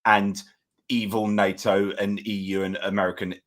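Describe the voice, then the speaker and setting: A man's voice: on the low side, level tone, normal speed, fairly bright tone. A middle-aged man hosting an online interview from a book-lined home study, laying out an argument at length with asides. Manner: discursive, argues a point at length with asides. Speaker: podcast host. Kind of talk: interview chat, thinking aloud.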